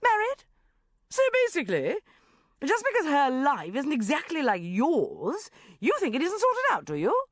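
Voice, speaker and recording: A woman's voice: sits high, wildly swooping pitch, unhurried, dry recording, clean studio sound, pitched above the performer's own voice, full character voice. A woman voicing a combative, sarcastic, outspoken female friend who growls indignantly, as an audiobook character.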